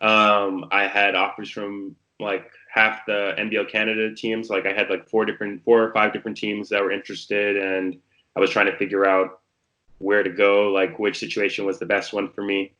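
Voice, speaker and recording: A low-voiced man in his thirties from the Bahamas talks in a laid-back, filler-heavy way as a podcast guest. His pitch stays level and flat, and his tone is bright and forceful. He tells his story in a relaxed, unhurried manner. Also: muffled recording. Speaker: guest, pro basketball player. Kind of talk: casual interview chat.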